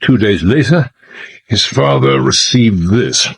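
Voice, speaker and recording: Husky, grave British spymaster. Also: male voice, fairly low pitch, expressive, heavily acted, poor audio. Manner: measured, emphatic, dramatic. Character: grave, urgent male British intelligence chief. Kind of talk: period audio drama acting.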